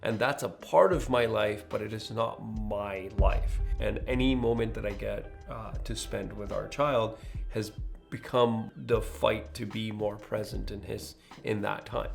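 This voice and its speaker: A man in his mid-thirties speaking as host in a reflective personal monologue, with an earnest, deliberate delivery. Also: on the low side, creaky. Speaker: host, new father.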